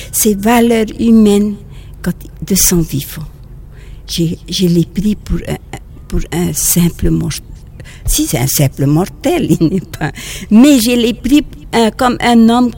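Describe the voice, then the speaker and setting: A nun from French Polynesia with a Polynesian accent, speaking French as a second language and recalling the past in a halting, gentle way, often restarting her phrases. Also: medium pitch, creaky. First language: Tahitian. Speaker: nun and teacher. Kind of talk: outdoor interview recollection.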